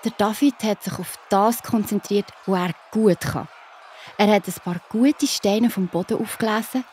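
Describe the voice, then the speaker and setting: A woman's voice: fairly high pitch, slightly rough. A woman with a Swiss German accent narrating a scripted children's story expressively.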